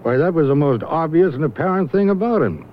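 Wry, knowing gossip, played by a male actor, swinging pitch, theatrical emphasis, tinny vintage radio sound with hiss. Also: lively intonation, a little fast, close to an everyday voice. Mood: wry, gossipy. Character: gossipy, knowing man sizing up an oddball acquaintance. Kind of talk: 1970s radio drama acting. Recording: tinny, band-limited old radio recording with hiss.

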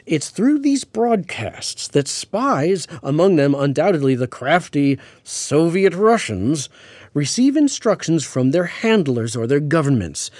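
An actor playing a learned, patient astrophysicist in an audio drama radio interview, explaining things in a measured, precise, pedantic way. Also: male voice, fairly high pitch, sing-song, a little mellow, no room sound. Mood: measured, grave. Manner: pedantic, measured, hesitant with hedging asides. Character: learned male astrophysicist, patient, precise, mildly amused Cold War expert. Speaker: astrophysicist guest on a radio show.

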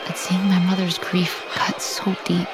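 An actress playing a guarded, sorrowful female vampire in an audio drama, with a measured, introspective, hesitant delivery. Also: on the low side, completely flat delivery, loud music behind the voice, close to an everyday voice. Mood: sorrowful, reflective. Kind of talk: audio drama narration and dialogue.

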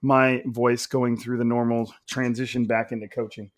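A hoarse man hosts a casual sports podcast, his voice raspy and a little nasal. He talks at a naturally loud, energetic volume. The sound is dry and close, with no room around it. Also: medium pitch, normal speed, no room sound. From Central California. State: hoarse from coaching. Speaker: podcast host.

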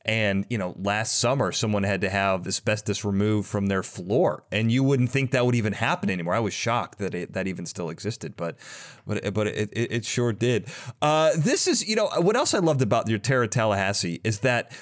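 A man hosting a casual interview, talking in a halting, rambling way and often interrupting himself. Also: fairly low pitch, animated. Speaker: podcast host interviewer.

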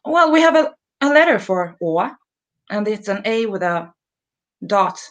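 A woman's voice: medium pitch, sing-song, a little slow, fairly bright tone, lo-fi sound. Swedish woman chatting on a video call. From Sweden. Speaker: guest. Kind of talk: casual video-call chat.

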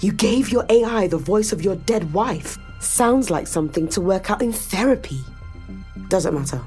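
A woman's voice: mid-range voice, animated, normal speed, a little mellow, faint music behind, close to an everyday voice. An actress playing a blunt, commanding woman in a sci-fi audio drama, with a direct, cutting way of speaking. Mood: blunt, urgent.

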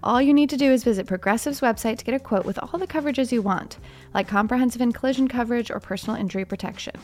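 A woman's voice: a little high, very fast. A woman announcer giving a scripted advertisement read.

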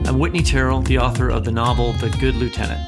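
Male podcast co-host, medium pitch, casual, wry, teasing, colloquial. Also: fairly even intonation, quick pace, music in the background. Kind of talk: casual podcast banter and introductions.

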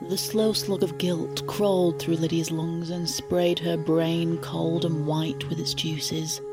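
A woman with a husky voice, narrating a scripted satirical story in a deadpan, matter-of-fact way. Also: low voice. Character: wry female storyteller narrating a satirical tale.

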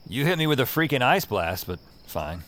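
An actor playing a wry, deadpan novice magic user in an audio drama, both in dialogue and narrating his own story. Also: medium pitch, normal speed, a little hiss or hum. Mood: eager, skeptical.

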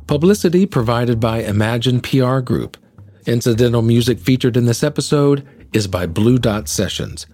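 A man's voice: medium pitch, animated, moderate pace, mellow. A man speaking as a podcast host in a conversational interviewing style.

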